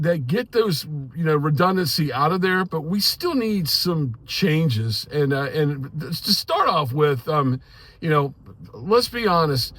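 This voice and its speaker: An American man in his sixties giving an informal, earnest opinion monologue in a plainspoken, halting way, often repeating words. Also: on the high side, a bit bright. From United States. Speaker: amateur radio hobbyist giving commentary.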